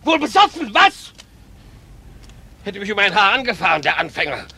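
A shouting, scolding passerby in a radio play, outraged and loud. The voice sits fairly high, with a bright, forceful edge. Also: male voice, sing-song, moderate pace, husky, full character voice. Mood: furious. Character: irate man nearly run over, loudly accusing.

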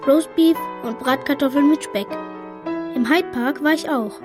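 Polite, high-voiced young boy. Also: a little slow, music in the background. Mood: earnest, wistful. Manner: well-mannered, formal, earnest. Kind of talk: radio drama acting.

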